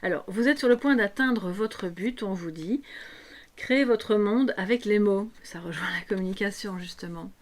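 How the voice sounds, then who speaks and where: A slightly hoarse woman hosting a tarot guidance video, speaking unscripted to her viewers in an explanatory way and often checking in with them. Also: mid-range voice, normal speed.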